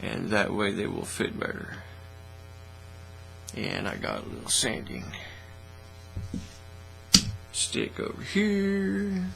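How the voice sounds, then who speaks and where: A man hosting a casual hands-on hobby demonstration in a folksy, informal, unhurried way, recorded in a small indoor workshop studio. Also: lowish voice, vocal fry. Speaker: host of a hobby workbench channel. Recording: steady mains hum, faint noise.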